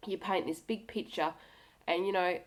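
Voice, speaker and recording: A woman's voice: lowish voice, fairly even intonation, normal speed, low-quality recording. A woman with a slightly nasal, cold-affected voice talking candidly to viewers in an earnest, direct and encouraging way. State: has a cold. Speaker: video blogger. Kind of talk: candid personal talk to camera.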